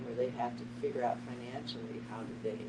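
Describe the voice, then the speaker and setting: A woman's voice: extremely low pitch, steady tone, fast talker. A mature woman thinking aloud hesitantly as she takes part in a casual, thoughtful discussion. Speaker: discussion participant. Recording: very noisy room, distant mic.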